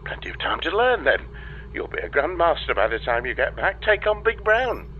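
A man's voice: on the high side, sing-song, normal speed, narrow, tinny audio, a little hiss or hum. Man acting a breezily unhelpful space dispatcher over radio. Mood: breezily upbeat. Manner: breezy, evasive, hesitant when cornered. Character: male space-agency dispatcher, evasive, breezily unhelpful colleague. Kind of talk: audio drama comedy acting.

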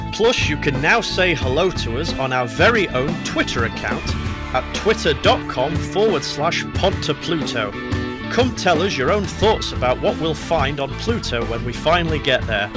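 Male announcer, scripted credits read, slightly high register, prominent music bed.

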